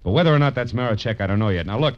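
An actor playing a wisecracking hard-boiled private detective in a 1940s radio drama, clipped, sardonic and slangy. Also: male voice, medium pitch, expressive, rapid-fire, a little hiss or hum, natural voice. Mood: brisk, pressing. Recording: muffled vintage radio recording, music behind.

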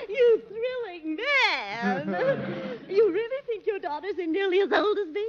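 Shrill, man-hungry radio spinster. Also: very animated, slow, a loud crowd, full character voice. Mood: gushing, flirty. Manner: gushing, fluttery, exaggerated. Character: man-hungry, flighty, flirtatious spinster with a sharp tongue. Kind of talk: 1940s radio comedy acting. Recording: muffled, very noisy 1940s radio recording.

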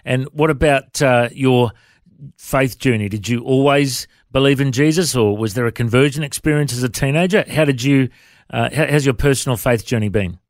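A man's voice: medium pitch, normal speed, no room sound. A man hosting a casual radio interview on a close studio microphone.

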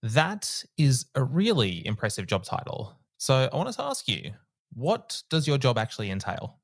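A man's voice: medium pitch, huge pitch swings, moderate pace, creaky, no room sound, clean studio sound. A man hosting an interview, friendly and asking follow-up questions. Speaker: interview host. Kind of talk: conversational interview.

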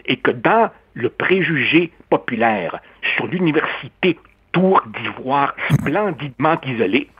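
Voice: mid-range voice, lively intonation, measured pace, breathy edge. A speaker, arguing a point in a radio discussion, with emphatic rising stresses. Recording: dull lo-fi radio sound.

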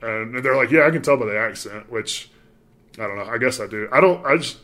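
A man's voice: mid-range voice, brisk, fairly bright tone. A young man with a mild Southern accent, chatting loosely on a podcast with many fillers and restarts. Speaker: departing sports reporter. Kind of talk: casual podcast chat.

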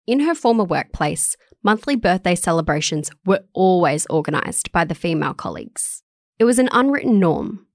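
A woman's voice: mid-range voice, no room sound, studio quality. A woman with an Australian accent, reading her own scripted article aloud as narration.

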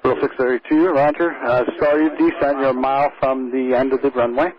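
A man working as an air traffic controller, giving calm, reassuring procedural instructions over the radio. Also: mid-range voice, brisk, dull audio.

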